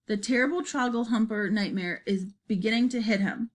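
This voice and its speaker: A woman voicing a gentle, friendly male giant in a children's storybook, hushed and earnest, with quaint muddled grammar. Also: a little high, a little fast, lightly acted. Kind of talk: dramatized children's storybook reading.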